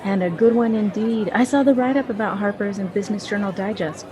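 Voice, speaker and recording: A woman's voice: mid-range voice, brisk, darkish tone, some chatter behind, natural voice, rough recording. A woman acting in an audio drama as a blunt, teasing friend who gives frank advice.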